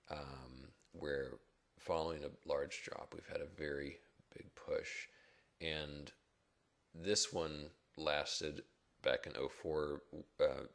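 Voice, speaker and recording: Very deep, gravelly man thinking aloud. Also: fairly bright tone. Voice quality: rough, gravelly, husky. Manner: halting, reflective, thinking aloud. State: rough early-morning voice, not yet warmed up. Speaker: stock market blogger and chartist. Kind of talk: casual unscripted market commentary over charts.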